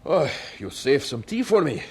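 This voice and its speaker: An actor playing a devout young preacher in an audio drama, humble and earnest, with a hesitant, halting delivery. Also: male voice, mid-range voice, big pitch swings, very noisy, natural voice. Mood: flustered, kindly.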